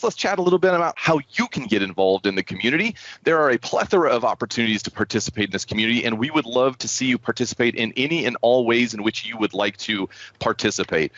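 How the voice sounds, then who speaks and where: A man's voice: mid-range voice, a bit bright. A man hosting an online developer community call, presenting in an upbeat, encouraging and enthusiastic way. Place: indoors, online video call. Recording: muffled, roomy online call audio.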